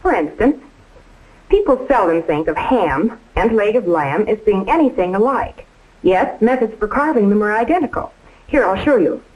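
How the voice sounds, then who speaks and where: A woman's voice: medium pitch, lively intonation, moderate pace, darkish tone, rough recording. A woman giving precise, step-by-step scripted instruction as a home economist in a 1950s training film. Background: music.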